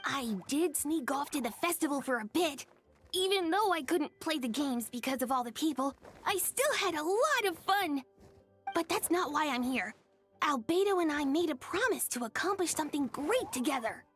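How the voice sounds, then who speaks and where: A woman voicing a young boy dragon in a video game, shy, gentle and earnest, with a soft, hesitant, sincere delivery. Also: high-pitched, animated, moderate pace, soft background music.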